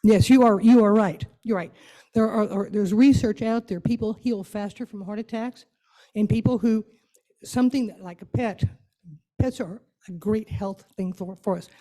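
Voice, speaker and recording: A woman addresses a group in a relaxed, soft-edged voice. She is conversational and playful, coaxing people along as an informal speaker would. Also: mid-range voice, moderate pace, poor audio. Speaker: guest speaker leading an interactive church talk. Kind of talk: informal talk to a congregation.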